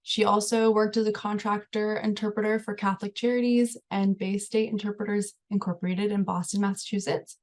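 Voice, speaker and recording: A woman's voice: mid-range voice, little pitch movement, fairly quick, few pauses, darkish tone. Woman introducing a webinar. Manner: reads from notes with "um" fillers. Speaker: research coordinator, webinar moderator. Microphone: computer mic on a video call.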